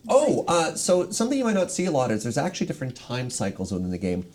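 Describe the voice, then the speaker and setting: A man's voice: a little high, huge pitch swings, fast, darkish tone, a little hiss or hum. A man co-hosting a stream, casually explaining things in a group chat. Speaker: stream co-host.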